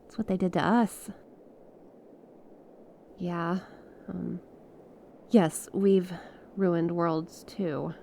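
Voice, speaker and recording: A woman playing an earnest, awestruck stranded space pilot in an ASMR audio roleplay, speaking expressively and haltingly. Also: on the low side, a little hiss or hum, exaggerated voice. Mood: solemn, pleading. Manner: expressive, halting, conversational, with small laughs and breathy exclamations. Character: stranded young female star scout pilot, awestruck and earnest.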